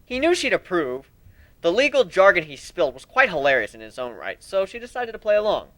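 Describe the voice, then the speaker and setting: Man narrating a story with lively pitch. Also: brisk, no room sound. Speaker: amateur narrator. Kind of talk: dramatic reading of fan fiction.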